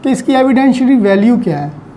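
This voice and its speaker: A man's voice: very high-pitched, lively intonation, fairly quick, dark tone, slight background noise. A man giving an online law lecture, patiently explaining step by step with examples and English legal terms. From Pakistan.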